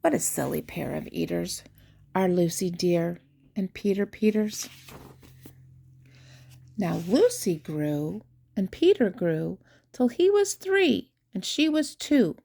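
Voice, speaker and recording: Older woman, slightly low voice, grandmotherly storybook reader, rhyming verse, expressive emphasis. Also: creaky. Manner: theatrical emphasis, drawn-out key words, dropping to hushed asides. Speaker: grandmother reading a children's picture book aloud. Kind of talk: expressive rhyming storybook read-aloud for young children.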